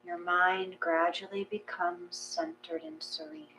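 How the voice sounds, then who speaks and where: A woman reading a guided meditation in a gentle, measured, calming way. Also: on the low side, low-quality recording. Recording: clear mains hum.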